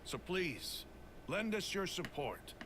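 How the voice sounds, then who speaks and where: An actor playing a proud, resolute desert mercenary leader in a video game, speaking dramatically and persuasively over music. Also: male voice, high voice, big pitch swings, takes it slowly, noisy background, full character voice. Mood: solemn, impassioned. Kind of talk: video game character acting.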